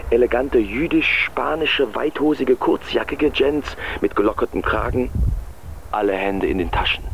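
An actor playing a German artist in a radio play, listing big-city impressions in clipped, observational fragments. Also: male voice, mid-range voice, gravelly, slight background noise. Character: male German artist recording sharp impressions of bustling New York.

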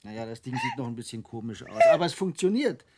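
A man's voice: mid-range voice, wildly swooping pitch, quick pace, heavy background noise. An actor playing a playful, eager male inventor in a radio drama, with a teasing, coaxing manner. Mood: excited, amused.

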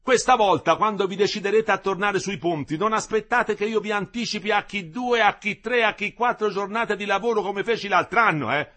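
A man voicing a weary, furious older engineer who scolds his workers loudly in a storybook reading. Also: high-pitched, brisk, few pauses, voice pushed up. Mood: scolding. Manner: shouting, scolding.

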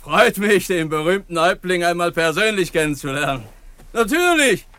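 An actor playing a chatty, folksy cowboy in a western radio drama, wary but friendly, with a brisk manner. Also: male voice, high voice, animated, pushed and bright, smooth, no room sound. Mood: friendly, impressed.

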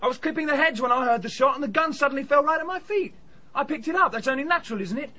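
An actor playing a defensive, hot-tempered young Englishman in a radio drama, loud and agitated in protest. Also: very high-pitched, lively intonation, racing, heavily acted. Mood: indignant.

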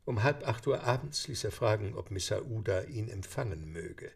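A man's voice: on the low side, animated, normal speed, creaky. A man narrating a German audio drama as a dramatic, scripted storyteller. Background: background music.

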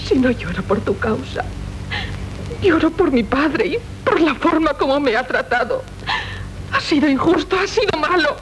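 Haughty young noblewoman, Castilian accent, high-pitched, swooping melodramatic delivery, old radio drama. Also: animated, normal speed. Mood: contrite, softened. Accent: Castilian Spanish. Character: proud, tempestuous young noblewoman of medieval Castile. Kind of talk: theatrical 1970s Spanish radio drama acting. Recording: noisy, rumbling 1970s radio recording.

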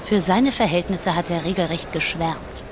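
A woman's voice: on the low side, moderate pace, noisy background, lightly acted. An actress playing a pragmatic, unsentimental businesswoman in an audio drama, blunt and matter-of-fact. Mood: dismissive. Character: pragmatic, unsentimental, debt-ridden businesswoman scheming. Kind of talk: audio drama dialogue acting.

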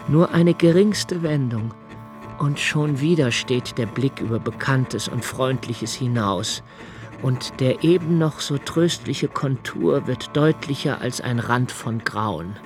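A man playing a brooding, introspective young poet-diarist haunted by dread, speaking a contemplative, halting literary monologue for a radio drama. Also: mid-range voice, music in the background. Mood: haunted, grave.

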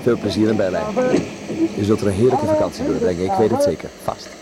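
An actor playing an effusive, sentimental gentleman traveller in a radio drama, exclaiming and savouring his words. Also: on the low side, quick pace, a loud crowd, natural voice. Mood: friendly. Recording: noisy track with vehicle ambience.

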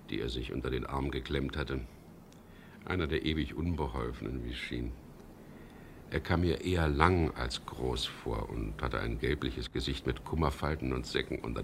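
An actor playing a wry, world-weary middle-aged English skipper who narrates a radio crime drama in a gravelly, laconic voice. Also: male voice, a bass voice, background noise. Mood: dry, reflective. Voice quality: gravelly, husky. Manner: laconic, dry, ironic.

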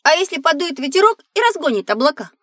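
Young boy, high and dry-recorded, inquisitive, wide-eyed, for a children's educational audio play. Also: sing-song, fast talker, a bit bright, no room sound. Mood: curious. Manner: exclamatory, wide-eyed, naive. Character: excitable, curious young boy on an observatory tour.

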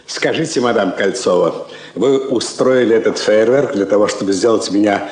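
A man's voice: lowish voice, normal speed, a little mellow, natural voice. An actor playing an urbane male go-between in a 1960s radio drama, courteous and dryly sarcastic. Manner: courteous, ironic. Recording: roomy vintage drama recording with music.

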